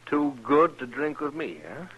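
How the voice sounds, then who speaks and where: An actor playing a pushy, short-tempered, needling saloon troublemaker in an old-time radio western. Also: male voice, fairly high pitch, expressive, a little slow, a bit bright, highs cut off. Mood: surly. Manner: needling, surly.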